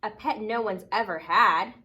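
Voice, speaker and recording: A woman's voice: medium pitch, normal speed, pushed and bright, breathy edge, dull audio. A woman voicing a smug, critical little girl from a picture book, boastful and matter-of-fact. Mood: dismissive.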